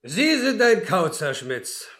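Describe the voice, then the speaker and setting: A businesslike director in a radio drama. His voice is on the high side, brisk and patronising, quick to sound puzzled and affronted. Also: very animated, a bit bright, exaggerated voice. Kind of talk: German radio play acting.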